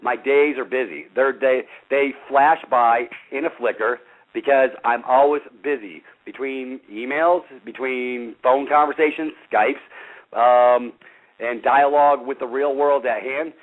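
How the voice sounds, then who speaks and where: A man in his fifties hosting a talk show, loud and passionate, rambling with many fillers. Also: mid-range voice, steady tone, unhurried. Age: 50s. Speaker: radio show host. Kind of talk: casual talk show chat. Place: front porch outdoors. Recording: tinny phone line.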